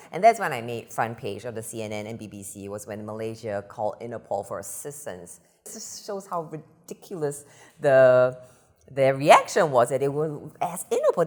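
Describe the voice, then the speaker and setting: A woman from Singapore speaks in a very low voice. She tells her story in a lively way and laughs often. She is a comedian giving an interview. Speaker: comedian guest. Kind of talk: interview conversation.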